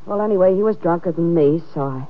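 Actress as a wisecracking tough dame. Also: female voice, fairly low pitch, fast talker, close to an everyday voice. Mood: grudging confession. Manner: sardonic, slangy tough-dame talk. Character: brash, world-weary, wisecracking female schemer. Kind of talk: old-time radio crime drama acting. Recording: muffled old radio recording.

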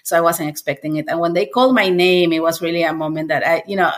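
A woman's voice: lowish voice, quick pace. A woman in her forties from Peru, with a Peruvian Spanish accent and Spanish as her first language, talking as a guest and often restarting phrases while she searches for words. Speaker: guest author. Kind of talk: casual interview conversation.

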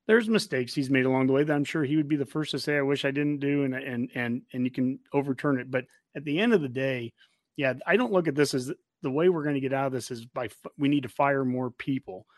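A man's voice: medium pitch, quick pace, no room sound, studio quality. An American man hosting a casual sports fan discussion, repeating phrases emphatically to make his point. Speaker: sports fan podcast host.

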